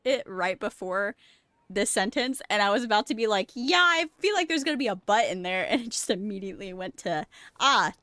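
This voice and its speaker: A woman's voice: mid-range voice, big pitch swings, fairly quick, bright, forceful tone, dry recording. A woman giving casual, chatty live commentary as a game streamer, with a giggly manner. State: sick. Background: game music and dialogue.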